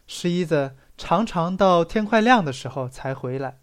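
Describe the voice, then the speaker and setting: An audiobook reader narrating a literary story in an expressive storytelling manner that stresses the dramatic phrases. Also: medium pitch, moderate pace, clear, resonant tone.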